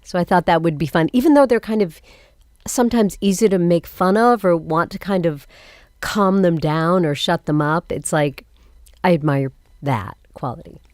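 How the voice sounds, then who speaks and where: A woman's voice: on the low side, moderate pace, a little mellow, no room sound, clean studio sound. A woman playwright talking casually and thoughtfully as an interview guest.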